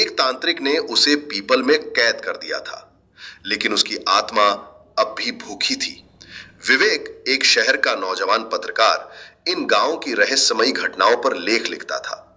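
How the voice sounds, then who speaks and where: Very bright, husky male horror narrator. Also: medium pitch. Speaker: storyteller narrator. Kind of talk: dramatic scripted horror-story narration.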